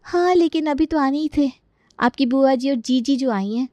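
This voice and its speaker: A woman's voice: sits high, normal speed, a little mellow, no echo, natural voice. A woman playing a young daughter-in-law in a family story, sarcastic, resentful and aggrieved.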